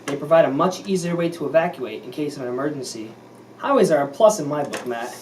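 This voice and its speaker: A man's voice: fairly high pitch, expressive, fast talker, darkish tone, lightly acted. A man playing a wholesome, eager 1950s co-anchor in an amateur scripted mock newscast. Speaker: news anchor. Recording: distant mic, faint noise.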